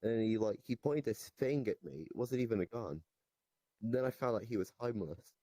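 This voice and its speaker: Guy doing a unhinged goblin telling absurd stories, low mellow voice, rambly n nonchalant, dry close sound. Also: lively intonation, dry recording. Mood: rambling. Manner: rambling, halting, nonchalant about absurd claims. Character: RoboGoblin, rambling deranged goblin storyteller boasting of absurd evil deeds. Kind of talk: improvised comic storytelling in an interview. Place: virtual reality voice chat.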